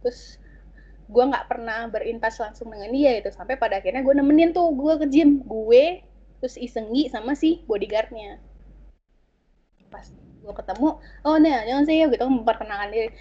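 A woman tells a story from her interpreting days in lively, slangy casual chat. Her voice sits a little high and carries a husky edge. The recording sounds dull and lo-fi. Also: moderate pace. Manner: animated, slangy, many fillers and restarts.

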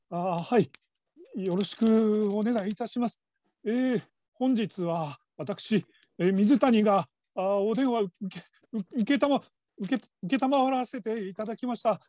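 A woman's voice: fairly high pitch, dark tone, lo-fi sound. A woman playing a nervous, humble call-centre trainee in her fifties in a radio drama, stammering and stiffly over-polite. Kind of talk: Japanese radio drama acting.

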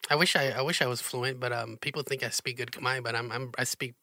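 A man hosts a laid-back interview with a bright, forward tone. His voice sounds dry and close, with no room in it. He chats easily and fills the gaps with lots of filler words. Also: mid-range voice, a little fast, no echo. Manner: relaxed and chatty, with many fillers. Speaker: interviewer, host. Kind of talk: casual interview chat.

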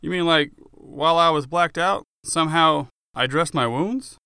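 A man's voice: a little high, moderate pace, sharp tone, clean tone, no echo, voice pushed up. Guy voicing a stubborn hurt tough guy in an audiobook, clean dry sound, sarcastic, defensive, kinda disbelieving. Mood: incredulous, defensive. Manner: wry, defensive, incredulous.

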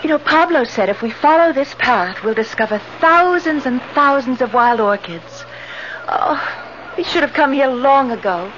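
An actress playing an affectionate but easily indignant younger wife in a 1970s radio drama, theatrical and expressive. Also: female voice, high voice, moderate pace, lo-fi sound. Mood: cheerful. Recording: noisy, band-limited 1970s radio recording.

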